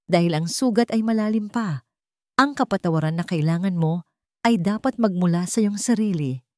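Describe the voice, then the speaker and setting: A woman voicing an older mother who speaks calmly and with weight, in a storybook reading. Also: on the low side, moderate pace, dry recording, clean studio sound, close to an everyday voice. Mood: calm, firm. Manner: calm and weighty. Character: older mother, wounded but composed.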